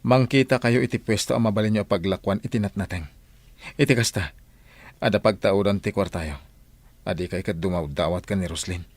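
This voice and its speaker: A man's voice: low voice, brisk, dry recording. A man acting a stern, fed-up grown son in a radio drama, lecturing firmly with an Ilocano accent. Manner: firm, lecturing. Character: stern, fed-up grown son scolding his gambling mother.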